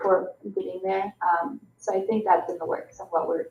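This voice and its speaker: A woman's voice: medium pitch, level tone, normal speed, far from the mic, lo-fi sound. An American woman giving an informal update at a public meeting. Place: indoor public meeting room. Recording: echoey room, faint background noise.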